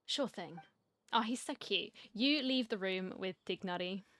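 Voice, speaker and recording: A woman's voice: mid-range voice, unhurried, a bit bright. A woman giving casual, jokey playthrough commentary with dry asides into a close mic. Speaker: game streamer.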